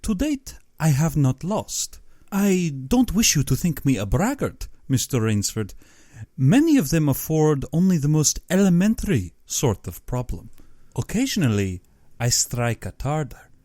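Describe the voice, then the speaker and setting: Storybook villain: male reader as a genteel, menacing general, pompous and over-polite, pitched up and swinging widely, unhurried. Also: very animated, mellow, no echo, studio quality. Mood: proud, sinister. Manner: pompous, exaggeratedly courteous. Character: genteel, menacing aristocratic general who hunts men.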